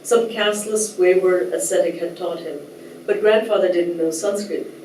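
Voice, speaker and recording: A woman from Nepal giving a thoughtful, measured talk as a writer, at times reading a translated essay aloud, with soft hesitations. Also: on the low side, a little hiss or hum. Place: lecture room. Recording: roomy echo, distant mic, faint ambience.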